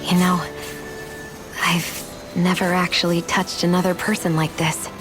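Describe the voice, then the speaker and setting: A woman acting a guarded young woman with a softening, sincere side in a video game cutscene, speaking in a measured, reflective, earnest way. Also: lowish voice, level tone, music in the background, natural voice. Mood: wistful.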